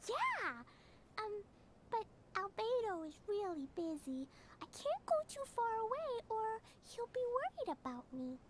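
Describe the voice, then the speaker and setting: A woman voicing a sweet, excitable little girl in a video game, with a childlike, eager, innocent way of talking. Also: extremely high pitch, measured pace, a little hiss or hum, full character voice. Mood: excited, eager. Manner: eager, artless childish wording. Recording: loud music bed underneath.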